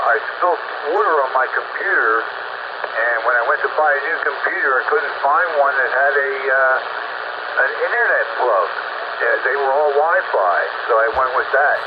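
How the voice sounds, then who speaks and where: A man chatting on the radio, telling things in a plain, matter-of-fact way. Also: mid-range voice. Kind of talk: casual radio chat. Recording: muffled radio audio with loud static.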